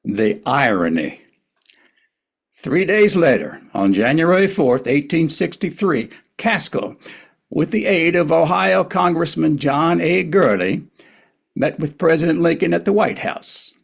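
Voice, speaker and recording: A man's voice: a little high, lively intonation, a little slow, vocal fry, breathy edge. A man narrating a historical play from a script at a table reading.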